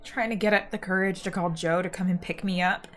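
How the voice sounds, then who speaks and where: A woman playing a hurt, proud girl just out of high school in a modern audio drama, sardonic and defensive. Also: steady tone, a little fast, a bit bright, close to an everyday voice. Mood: hurt, weary.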